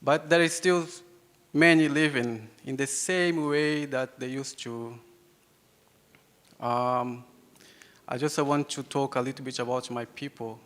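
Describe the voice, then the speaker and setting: A young man from Brazil with a Brazilian Portuguese accent, a Yawanawa speaker, giving a halting talk to an audience, repeating words and laughing often. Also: medium pitch, steady tone, a bit bright. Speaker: invited speaker. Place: hall with an audience. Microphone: handheld microphone. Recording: hall reverb.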